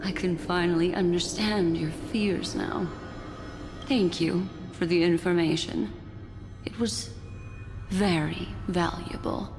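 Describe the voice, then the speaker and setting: Casting: coolly judging female investigator, video game; slow, measured, composed, music underneath. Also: on the low side. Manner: measured, solemn, formal. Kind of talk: dramatic video game acting. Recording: reverberant hall, music behind.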